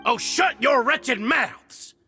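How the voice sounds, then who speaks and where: An actor playing a tyrannical, sadistic villain boss in a video game, theatrical, menacing and commanding. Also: male voice, very high voice, slow pace, over music. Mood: enraged. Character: ranting tyrannical male cult-like villain boss, sadistic and jealous.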